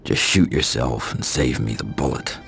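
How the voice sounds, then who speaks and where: An actor playing a merciless vigilante killer in a video game, terse and deadpan. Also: male voice, a bass voice, normal speed, vocal fry, faint music behind, heavily acted. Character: grim, merciless vigilante killer, tough middle-aged man. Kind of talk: video game cutscene and voice-over acting.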